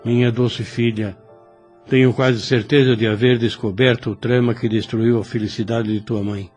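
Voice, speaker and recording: A man's voice: mid-range voice, little pitch movement, moderate pace, darkish tone, faint music behind, lightly acted. A Brazilian actor playing a kindly, ailing elderly priest in an audio drama, solemn, fatherly and formal. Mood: fatherly gravity. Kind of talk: Brazilian radio drama acting.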